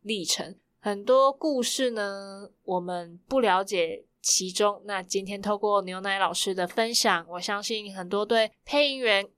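A woman's voice: medium pitch, a little slow, hardly any pauses, fairly bright tone, clean tone. A woman, hosting a podcast interview in a casual conversational style.

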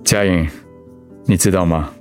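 A gentle, low-voiced idealist pastor. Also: male voice, fairly even intonation, measured pace, soft background music. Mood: tender, reflective. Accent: Taiwanese. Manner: earnest, reflective. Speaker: voice actor in a radio drama. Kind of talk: Mandarin radio drama acting.

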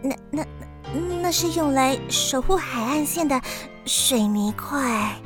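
Woman as a very high, incredulous contestant. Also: expressive, slow, over music. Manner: incredulous, questioning. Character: thrifty, skeptical, easily astonished contestant. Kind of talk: children's radio drama acting.

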